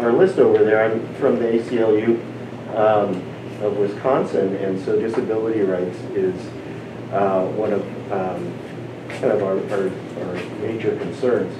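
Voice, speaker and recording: Halting earnest man in an echoey room. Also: lowish voice, steady tone. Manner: halting, many fillers and restarts, earnest. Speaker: civil liberties group representative. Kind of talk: panel moderation remarks. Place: event room at a community panel. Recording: echoey, distant, noisy.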